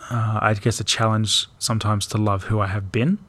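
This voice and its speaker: A young man co-hosting a podcast, speaking in a reflective, hesitant way with frequent pauses and ums. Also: on the low side, flat delivery, fairly bright tone, breathy edge. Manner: thoughtful, hesitant, many ums and restarts. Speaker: podcast co-host. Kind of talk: reflective casual chat.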